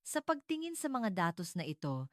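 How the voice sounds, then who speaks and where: A woman reading a scripted dramatic narration in a measured, earnest storytelling manner. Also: medium pitch, sing-song, dry recording, clean studio sound.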